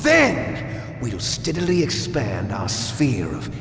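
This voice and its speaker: An actor playing a bitter, grandiose cloned soldier villain in a video game, taunting with slow dramatic pauses. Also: male voice, medium pitch, huge pitch swings, gravelly, background noise, exaggerated voice. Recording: echoey hall reverb, game music.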